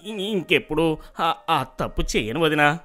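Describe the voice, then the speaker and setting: A man's voice: a little high, lively intonation, measured pace, pushed and bright, soft background music. A man playing a greedy, jealous, shifty village schemer in a folk tale. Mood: cowed. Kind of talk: acted folk tale dialogue.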